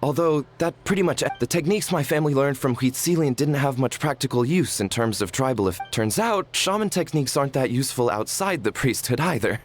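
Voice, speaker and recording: A man's voice: medium pitch, expressive, fairly quick, few pauses, faint music behind, close to an everyday voice. A man playing a humble, nervous young tribesman in an anime video game, earnest and apologetic. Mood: earnest, modest.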